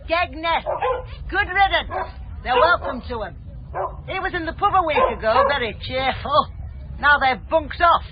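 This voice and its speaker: A Northern English man playing a sardonic working-class neighbour in a radio drama, loud, blunt and gossipy. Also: very high-pitched, expressive, a little slow, pauses often, a loud crowd. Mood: scornful gossip. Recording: dull, noisy outdoor scene, barking dog.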